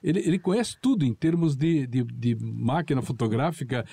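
A man's voice: medium pitch, animated, normal speed, vocal fry, warm tone, breathy edge, no echo. A man telling anecdotes as a guest on a talk show. He often restarts his words while recalling. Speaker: guest, former colleague and juror.

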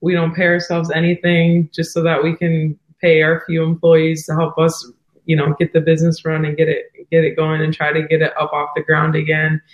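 A woman's voice: sits low, completely flat delivery, fairly quick, echoey room, highs cut off. A woman guest who is losing her voice, speaking in an earnest, heartfelt, faith-driven way about running a wedding venue. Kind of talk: earnest interview talk.

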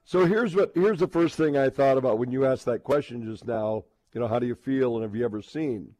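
A man hosts casual sports radio talk in a mid-pitched voice with a dry, close sound. He talks haltingly, circling and repeating words as he works up to his point. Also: dark tone, no room sound.

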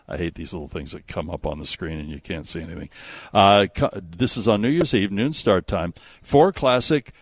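Bantering American radio host with swooping delivery. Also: male voice, mid-range voice, no room sound. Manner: jokey, teasing callers. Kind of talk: bantering radio prize-giveaway patter with callers.

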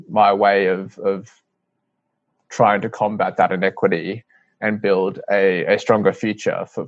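Earnest young Australian man, low voice. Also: no room sound. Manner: earnest, measured, with frequent restarts and "um"s. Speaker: guest, CEO of a youth-led charity. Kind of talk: interview answers. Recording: dry, slightly muffled audio.

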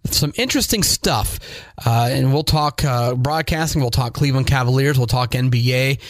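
A man's voice: medium pitch, moderate pace, no room sound. A man in his forties from Northeast Ohio with an American accent, talking conversationally as a podcast host. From Northeast Ohio, United States. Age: 40s. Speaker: podcast host, high school sports broadcaster. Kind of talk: conversational podcast introduction and interview.

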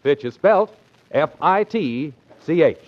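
A man's voice: fairly high pitch, animated, slow. An American man reading advertising copy as a commercial announcer in a 1940s radio style. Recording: dull 1940s radio recording.

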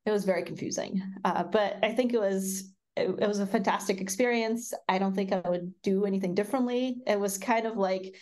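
A woman in her thirties from Kazakhstan, a tech executive talking casually as an interview guest, thoughtful and quick to laugh. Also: medium pitch, darkish tone. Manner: thoughtful, laughs often, frequent fillers.

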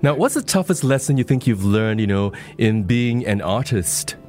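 A man's voice: medium pitch, lively intonation, moderate pace, a little mellow, faint music behind. A man hosting an evening radio phone-in interview, polished broadcast delivery with frequent "you know". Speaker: radio show host.

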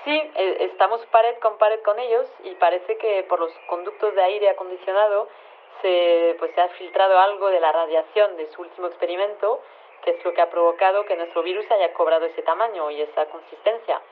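A woman's voice: fairly low pitch, a little fast. An actress playing a matter-of-fact, slightly flustered female lab chief, hesitant and rambling, interviewed over a telephone line. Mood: matter-of-fact, excusing. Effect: telephone filter. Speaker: scientist interviewed by phone. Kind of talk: conversational radio phone interview. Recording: tinny narrow phone line.

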